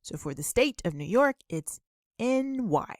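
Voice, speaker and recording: A woman teaching a beginner English lesson, deliberately enunciating and repeating model questions and answers in an instructional way. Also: a little high, very animated, no room sound, clean studio sound.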